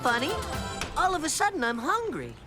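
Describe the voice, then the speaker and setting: A woman's voice: high voice, huge pitch swings, moderate pace. A woman voicing a cocky young boy who plays detective in a children's cartoon, with a boastful, exclamatory way of talking. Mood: casual, eager. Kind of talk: animated children's cartoon acting. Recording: music under the dialogue.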